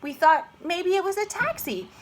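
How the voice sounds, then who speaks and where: A woman's voice: very high voice, lively intonation, normal speed, pitched above the performer's own voice. A woman voicing a bold, fearless little girl in a children's storybook, with an emphatic manner. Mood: sheepish explaining.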